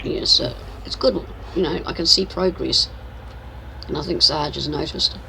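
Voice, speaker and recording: A woman's voice: low voice, moderate pace, pushed and bright, slight background noise, poor audio. An older woman, chatting casually and teasingly as she streams a farming game. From New Zealand. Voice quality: croaky. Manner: chatty, teasing, narrates her own driving. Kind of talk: casual live-stream chat while playing.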